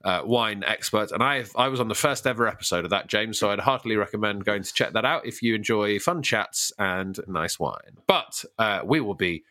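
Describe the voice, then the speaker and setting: A man's voice: fairly low pitch, lively intonation, normal speed, hardly any pauses, sharp tone. A man co-hosting a podcast in casual comedy chat, talking in a bantering, teasing way. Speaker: podcast co-host.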